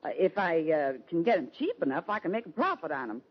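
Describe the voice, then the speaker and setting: A woman's voice: fairly low pitch, sing-song, quick pace, husky. An actress playing a brassy, bossy big frontier woman with a Western American accent in an old-time radio drama. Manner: brash, scolding, folksy. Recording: dull, muffled old radio recording.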